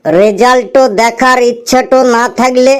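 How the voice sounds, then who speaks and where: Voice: mid-range voice, moderate pace, clean tone, close to an everyday voice. An actor voicing a cheeky, impatient young student in a comedy cartoon, arguing and talking back. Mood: defiant.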